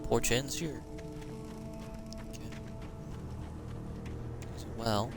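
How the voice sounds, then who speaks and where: Male streamer, gravelly mid-pitched voice, casual self-directed gameplay chatter. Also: very slow, over music. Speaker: game streamer. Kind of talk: casual live gameplay commentary.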